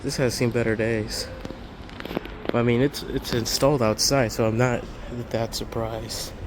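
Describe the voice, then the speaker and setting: A man's voice: mid-range voice, fairly even intonation, a little slow. A man giving casual walkthrough commentary as a vlogger on a handheld recording inside a large warehouse store. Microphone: handheld phone or camera. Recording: clear store ambient noise.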